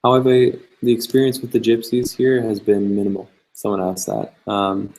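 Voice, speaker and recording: A young man with a low voice chats loosely with his viewers, reading out their questions and answering off the cuff. His tone is gravelly with a touch of fry, and he fills gaps with easy ums and likes. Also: moderate pace, slight background noise, rough recording. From Canada. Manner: relaxed and chatty, many fillers, reads questions aloud. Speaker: travel vlogger. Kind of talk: casual livestream chat answering viewer questions.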